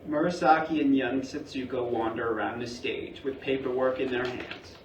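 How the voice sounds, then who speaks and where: A man's voice: mid-range voice, fairly even intonation, normal speed. A man reading scripted stage directions as narrator at a staged play reading in a roomy theatre. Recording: roomy theatre, distant mic, faint noise.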